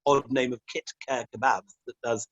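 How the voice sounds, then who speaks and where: A man's voice: medium pitch, fairly even intonation, moderate pace, fairly bright tone, no echo, lo-fi sound. A middle-aged man from Scotland, a guest answering interview questions in a wry, rambling way, with self-deprecating humor and frequent laughs.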